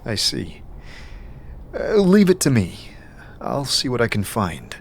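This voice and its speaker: A man's voice: mid-range voice, wildly swooping pitch, slow, gravelly, slight background noise, exaggerated voice. A man playing a polite, searching, scarred wanderer with a tentative, questioning manner in a fantasy audio drama. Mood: helpful, calm.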